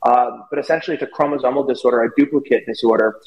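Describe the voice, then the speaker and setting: A man's voice: medium pitch, steady tone, rapid-fire, highs cut off. A man giving casual, opinionated commentary as a talk show guest, explaining at length in a rambling way. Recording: echoey room with long reverb.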